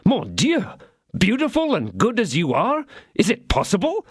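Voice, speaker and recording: A man's voice: on the high side, sing-song, moderate pace, no room sound, clean studio sound, voice pushed up. A man playing an ardent young swordsman. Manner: theatrical, sentimental pleading. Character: ardent young male swordsman, flattering and cunning.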